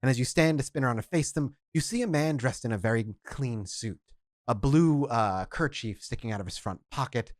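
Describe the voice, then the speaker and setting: A man narrating a tabletop game as its game master. He describes each scene steadily and in detail, at a middling pitch for a man. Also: expressive, a little fast, no echo.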